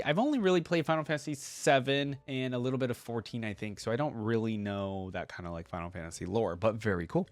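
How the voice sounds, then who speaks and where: A man's voice: mid-range voice, no echo. A man hosting a reaction video, reading aloud and adding informal, conversational comments.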